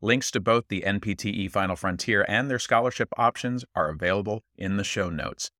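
A man's voice: lowish voice, fairly quick, bright, forceful tone, no room sound, clean studio sound. A man reading a podcast sponsor announcement in an upbeat, scripted way.

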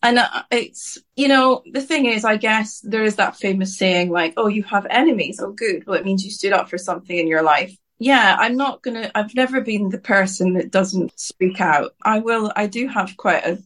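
A woman's voice: medium pitch, moderate pace, few pauses, a bit bright. A woman in her thirties, a singer-songwriter from Scotland, answering interview questions in an outspoken, rambling way, often breaking off and restarting her sentences.

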